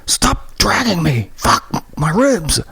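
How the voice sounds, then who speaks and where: A man voicing a panicky, injured city man who whines and cries out hoarsely. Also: mid-range voice, animated, unhurried, fairly bright tone, dry recording, voice pushed up, heavily acted. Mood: panicked.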